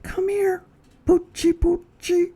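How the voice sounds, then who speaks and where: A woman's voice: flat delivery, slow pace, dark tone. A woman voicing a cocky, dismissive male burglar in a storybook, whispering and cooing to a dog. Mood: coaxing. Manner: scoffing, coaxing in a high coo.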